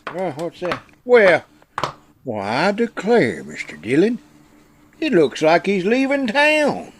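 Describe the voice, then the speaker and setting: Frontier sidekick, male actor: highish voice, folksy, inquisitive, good-natured, vintage radio drama. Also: wildly swooping pitch, slow, noisy background, heavily acted. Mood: amused. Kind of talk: recreated 1950s radio western acting.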